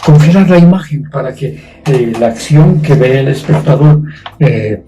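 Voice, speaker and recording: A man giving an unscripted explanation, speaking haltingly with long hesitations. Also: on the high side, dark tone, faint music behind. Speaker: comics scriptwriter giving testimony.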